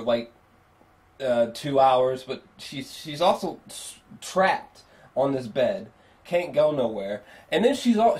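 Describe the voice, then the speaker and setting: Male film reviewer, mid-pitched, off the cuff and foul-mouthed, emphatic, meandering delivery for a casual video review. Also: a little mellow. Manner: rambling, profanity-laced, emphatic.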